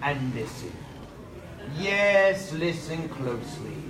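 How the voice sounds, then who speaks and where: A slow, brooding ghostly sea captain. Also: male voice, a little high, big pitch swings, noisy background. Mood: ominous, brooding. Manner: slow, portentous, dramatic pauses and repetition. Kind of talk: live old-time radio horror acting. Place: on stage in a theater. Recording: echoey live theater, loud music behind.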